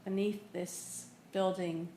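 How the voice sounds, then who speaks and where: A woman teaching a guided meditation, speaking in a gentle, unhurried way with long pauses. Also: on the low side, little pitch movement. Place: indoor room in a building. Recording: echoey room with faint noise.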